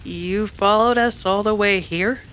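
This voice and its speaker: A woman playing a resolute but vulnerable widowed frontier woman in an old-time western radio drama, with a dramatic, halting delivery. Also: mid-range voice, a bit bright, close to an everyday voice. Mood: wary, alarmed.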